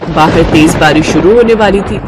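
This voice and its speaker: A woman's voice: lowish voice, normal speed, heavy background noise. A woman narrating a scripted children's story as a storyteller.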